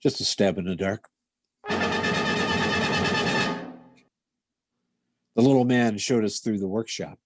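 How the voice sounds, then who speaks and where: A man playing a deadpan, clipped police detective narrating his case in a 1950s radio parody style. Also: on the low side, a little fast, heavy background noise, close to an everyday voice. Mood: dry questioning.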